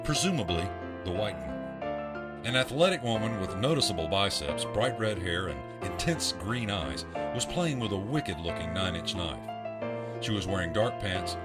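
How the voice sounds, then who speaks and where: A man narrating an audio drama in a steady storytelling voice. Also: sits low.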